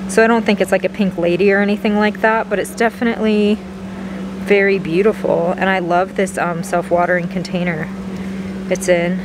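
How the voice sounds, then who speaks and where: Woman, medium pitch, casual vlog commentary, appreciative browsing murmur, handheld camera audio, noticeable ventilation noise in a big store. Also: level tone, brisk. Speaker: vlogger, host. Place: large indoor garden center of a store. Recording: clear store background noise, ventilation hum.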